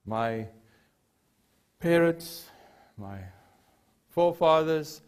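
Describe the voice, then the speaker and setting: A man's voice: fairly high pitch, expressive, drawn-out words, smooth. An older man from South Africa, a native Afrikaans speaker with a South African accent, preaching a sermon in a church hall with earnest emphasis and repeated key phrases. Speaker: pastor. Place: church hall with a lectern and a cross.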